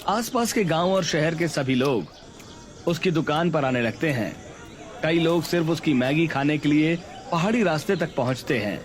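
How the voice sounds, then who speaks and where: A man's voice: on the high side, animated, normal speed. A man reading scripted storybook narration for a Hindi cartoon tale. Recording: background music under voice.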